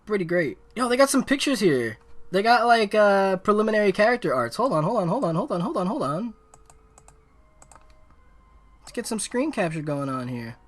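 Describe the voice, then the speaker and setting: A man live-streaming a game, giving excitable, casual, slangy commentary and reading on-screen text aloud. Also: high-pitched, normal speed, pauses often.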